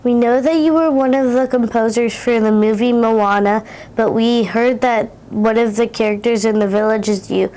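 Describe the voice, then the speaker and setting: A fourth-grade girl hosts a school interview. Her voice sits fairly high. She reads her scripted introduction and questions carefully. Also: fairly even intonation, moderate pace, dark tone, rough recording. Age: child, fourth grader. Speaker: student interviewer. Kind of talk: reading prepared questions.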